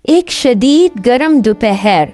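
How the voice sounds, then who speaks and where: High-pitched, lively woman storyteller. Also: soft background music. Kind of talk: scripted fairy tale narration.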